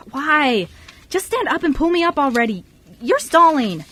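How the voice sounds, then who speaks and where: A woman's voice: high voice, big pitch swings, a little slow, fairly bright tone, pitched above the performer's own voice, heavily acted. A woman playing a bratty, snobbish, easily exasperated wealthy teenage girl in an amateur radio play. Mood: impatient.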